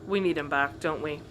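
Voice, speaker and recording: A woman's voice: sits low, a bit bright, a little hiss or hum, exaggerated voice. A woman playing a sensible, harried spaceship captain, patient and matter-of-fact but exasperated, in an audio sitcom.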